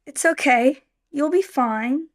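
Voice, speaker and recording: A very high, plucky young girl, acted by a woman for a radio play. She is encouraging and bold, an orphan on the run, heard in a dry recording. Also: slow, no room sound. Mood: reassuring.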